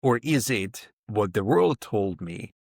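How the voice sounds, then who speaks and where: A man's voice: lowish voice, expressive, slow, creaky, a little mellow, no echo, clean studio sound. A man in his fifties, a podcast guest speaking reflectively and emphatically, often voicing inner thoughts as quotes. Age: 50s. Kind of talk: reflective interview talk.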